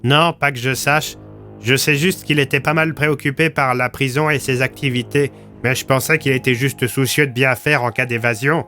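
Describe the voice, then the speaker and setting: Man acting a blunt, bright-voiced village police commissioner. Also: medium pitch, fairly even intonation, moderate pace, soft background music, voice pushed up, lightly acted. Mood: blunt, matter-of-fact. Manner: gruff slang, blunt storytelling. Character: rattled, blunt male small-town police commissioner, survivor. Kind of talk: audio drama storytelling.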